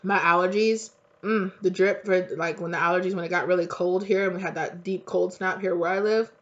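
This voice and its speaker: A woman's voice: on the low side, steady tone, brisk, lo-fi sound. Congested chatty woman reading tarot. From United States. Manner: chatty, rambling, laughs often. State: congested from allergies, coughing. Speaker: tarot reader. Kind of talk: casual spiritual chat to viewers.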